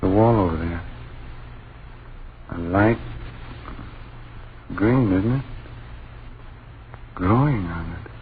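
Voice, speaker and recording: A man's voice: low-pitched, slow, long pauses. An actor playing a protective, anxious father in an old-time radio horror drama, on a muffled, noisy recording. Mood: alarmed wonder. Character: protective, anxious young father sheltering his family.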